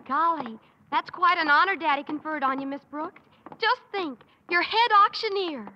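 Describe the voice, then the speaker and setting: A woman's voice: high-pitched, moderate pace, slight background noise, lightly acted. A woman playing a sweet earnest teenage girl. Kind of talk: 1950s radio sitcom acting. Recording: dull old radio broadcast.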